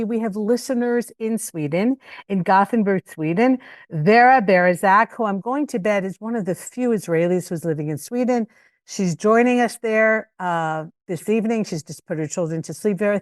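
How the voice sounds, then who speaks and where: An older woman hosts a relaxed podcast chat, talking in an expressive, chatty way. Her voice sits at an ordinary pitch and the recording is dry and close, with no room sound. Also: no room sound. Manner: chatty, expressive, quick to laugh. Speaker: podcast host. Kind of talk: casual interview.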